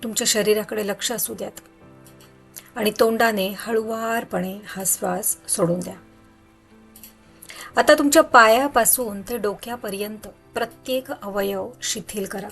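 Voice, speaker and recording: A woman narrates a guided meditation. Her voice sits at a middle pitch, and she gives soothing instructions with unhurried pauses. Music plays behind her. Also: gravelly, fairly bright tone. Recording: background music under voice.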